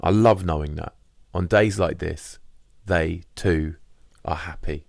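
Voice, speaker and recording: A man reads a diary as audiobook narration. His voice is very deep with a lot of fry in it. The sound is dry, with no room around it. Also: slow pace, no echo. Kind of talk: scripted first-person diary narration.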